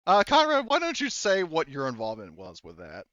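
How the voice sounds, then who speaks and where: Guy game master chatting after the session, talks fast, dry but kinda muffled audio. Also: a little high, huge pitch swings, a bit bright, dry recording. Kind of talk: casual post-game discussion.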